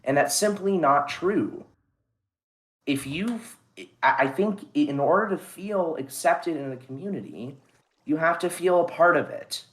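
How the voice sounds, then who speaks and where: A man's voice: a little high, a little slow. Earnest man pressing his point. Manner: earnest, insistent arguing. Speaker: debate candidate. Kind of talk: casual roleplay debate.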